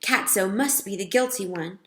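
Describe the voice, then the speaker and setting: A woman voicing a calm adult camp leader who speaks in a steady way. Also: mid-range voice, moderate pace, slight background noise, lightly acted. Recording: roomy echo.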